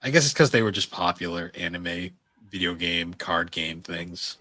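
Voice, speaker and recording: A man's voice: sits low, moderate pace, pushed and bright, dry recording. A man co-hosting a podcast, chatting casually and informally in loose, rambling remarks. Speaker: podcast co-host. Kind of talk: casual chat about anime and games.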